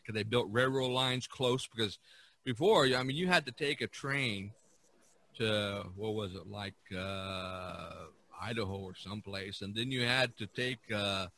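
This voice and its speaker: Bright-voiced, enthusiastic man chatting. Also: on the low side, unhurried. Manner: enthusiastic, animated storytelling. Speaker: podcast host. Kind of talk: casual art history discussion.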